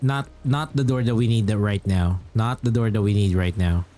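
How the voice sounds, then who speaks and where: A man's voice: fairly low pitch, moderate pace, dark tone, a little hiss or hum. A man streaming a video game, commenting casually as he plays. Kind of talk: casual live gameplay commentary. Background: game music.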